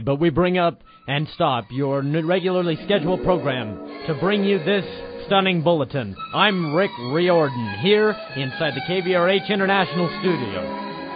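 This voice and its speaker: A man's voice: on the high side, voice pushed up, close to an everyday voice. Man doing an urgent pompous radio news anchor. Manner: raised, emphatic announcing. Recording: dull lo-fi radio sound.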